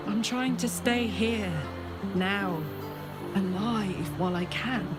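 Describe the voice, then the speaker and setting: A woman's voice: medium pitch, takes it slowly, loud music behind the voice, lightly acted. A woman acting a brash but tender warrior who is grieving her own coming death in a video game, raw and heartfelt. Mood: tender, sad.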